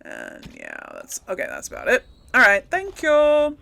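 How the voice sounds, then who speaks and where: A woman's voice: high voice, wildly swooping pitch, a little slow, creaky, sharp tone. A woman hosting a casual gameplay video, thinking aloud as she plays. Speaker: gaming video host. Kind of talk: casual role-play gameplay commentary.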